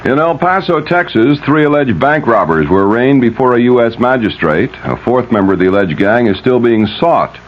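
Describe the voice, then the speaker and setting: A man's voice: mid-range voice, lively intonation, moderate pace, few pauses. An American man anchoring a 1970s radio news bulletin, reading scripted stories. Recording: dull, lightly noisy vintage radio broadcast.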